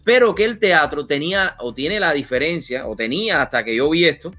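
A man's voice: on the high side, lively intonation, brisk, pushed and bright. Rambling male interview host. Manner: rambling, opinionated, many fillers. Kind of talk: conversational interview.

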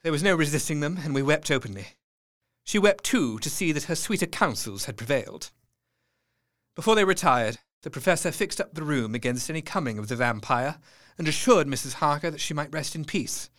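An actor playing a grave, compassionate young doctor narrating in an audio drama, in a solemn way. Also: male voice, fairly high pitch, lively intonation, a little fast, fairly bright tone.

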